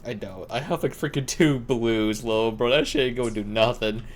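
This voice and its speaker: A man's voice: medium pitch, moderate pace, slight background noise. A young man doing casual live gameplay commentary as a streamer, rambling to himself in slangy speech. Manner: slangy, self-talking, rambling. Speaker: game streamer. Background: game music.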